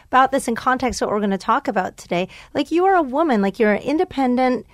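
A woman's voice: sits high, animated, dry recording, clean studio sound. Bubbly, crude woman podcast host. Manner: bubbly, blunt and crude, quick to laugh. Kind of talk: casual chat. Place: podcast studio. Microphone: studio microphone.

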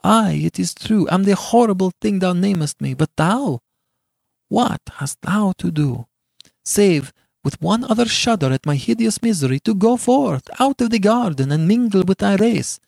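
A man voicing a gentle, grief-stricken young woman in a storybook, pleading earnestly in old-fashioned, lamenting language. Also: sits high, unhurried, vocal fry, dry recording, studio quality, voice pushed up, exaggerated voice. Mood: sorrowful pleading. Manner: archaic, earnest, lamenting diction.

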